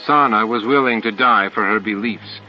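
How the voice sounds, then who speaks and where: A man's voice: lowish voice, moderate pace, lo-fi sound, faint music behind. A man reading a science fiction novel aloud as a scripted, dramatic audiobook narrator.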